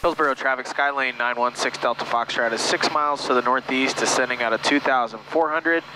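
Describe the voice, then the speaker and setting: Clear engine noise sits behind a man talking on an aviation headset in a small plane. His voice is average in pitch. He moves between clipped, procedural radio calls and casual flying instruction. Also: fairly quick. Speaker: private pilot and flight instructor giving tips. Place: small airplane cockpit in flight. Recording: noisy aircraft cockpit, engine drone.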